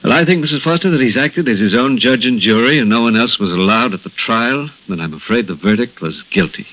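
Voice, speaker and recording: An American actor playing a grave hospital doctor in 1960s radio drama, speaking in a measured, hesitant, solemn way. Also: male voice, medium pitch, natural voice. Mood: solemn, foreboding. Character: grave, hesitant male hospital doctor breaking bad news gently. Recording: dull lo-fi vintage radio recording.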